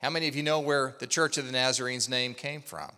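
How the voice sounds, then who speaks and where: A man's voice: medium pitch, steady tone, fast talker, pushed and bright. A man from Oklahoma preaching a sermon as pastor, telling a story and asking the congregation questions. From Oklahoma, USA. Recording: long hall reverb.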